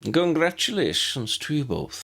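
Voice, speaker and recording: A suave Italian professor, voiced by a man reading a storybook, theatrical and courteous. His voice swoops widely and has a gravelly grain, with playful, gallant charm. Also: fairly high pitch, very animated, measured pace, voice pushed up, heavily acted. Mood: teacherly. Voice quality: warm, smooth, musical.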